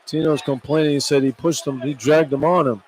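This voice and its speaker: A man's voice: a little high, steady tone, fairly quick, darkish tone, slight background noise, rough recording. A man working as a radio color analyst, talking through a basketball game in a conversational way. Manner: repeats and restarts phrases to explain tactics. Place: indoor basketball arena.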